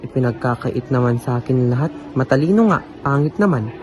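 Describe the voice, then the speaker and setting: A man's voice: mid-range voice, fairly quick, muffled recording, slight background noise, lightly acted. A young man playing a lonely eighteen-year-old student who thinks he is ugly, brooding in inner monologue. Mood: frustrated. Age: young adult. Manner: brooding inner monologue turning to excited chatter. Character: lonely 18-year-old male student, self-pitying, calls himself ugly. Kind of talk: amateur radio drama acting.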